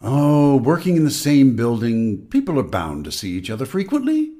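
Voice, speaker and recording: A man's voice: medium pitch, wildly swooping pitch, moderate pace, mellow. A man acting in an audio drama as the wary, cautioning inner voice of a fearful man. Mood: suspicious. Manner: cautioning, conspiratorial.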